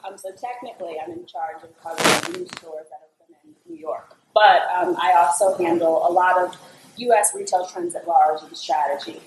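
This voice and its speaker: A woman's voice: fairly low pitch, normal speed, reverberant, background noise. A woman working as a retail editor, describing her work casually on a panel. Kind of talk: panel discussion.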